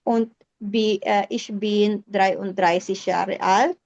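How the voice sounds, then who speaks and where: A woman from the Philippines teaching a German class online, patient and polite, switching between Tagalog and German and saying the German words slowly. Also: medium pitch, steady tone, a little mellow, dry recording, muffled recording.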